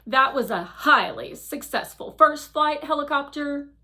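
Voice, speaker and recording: A woman's voice: sits high, animated, unhurried, pushed and bright, pitched above the performer's own voice, full character voice. A woman voicing a seasoned, skeptical guardian machine in a children's storybook, with a blunt, authoritative manner. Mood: stern, knowing. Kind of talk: children's storybook read-aloud.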